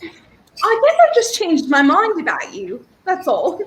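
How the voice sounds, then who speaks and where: A woman's voice: very high-pitched, very animated, measured pace, heavily acted. A young woman playing an earnest, prickly romantic heroine in a 1930s-style radio thriller. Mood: grudgingly fond. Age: young adult. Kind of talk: 1930s radio play acting.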